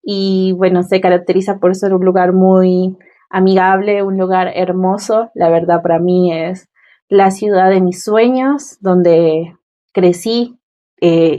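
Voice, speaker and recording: A woman's voice: medium pitch, mellow, dull audio. A woman in her twenties with a Venezuelan accent, telling her personal story as an interview guest. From Mérida, Venezuela.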